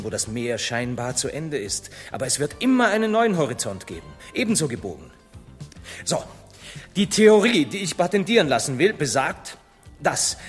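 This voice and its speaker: An actor playing an eager, stubborn young explorer in a theatrical radio play, explaining with fervour. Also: male voice, fairly high pitch. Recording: long hall reverb, music behind.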